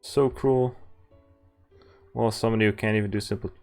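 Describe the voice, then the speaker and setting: A man's voice: fairly low pitch, steady tone, moderate pace, vocal fry, a little mellow. A man livestreaming a visual novel, reading it aloud expressively with casual side comments and occasional stumbles. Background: game music.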